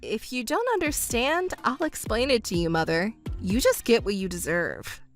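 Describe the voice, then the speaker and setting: A woman voicing a calm, cold young stepdaughter in a melodramatic audio drama. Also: a little high, faint music behind. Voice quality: cool, measured, firm.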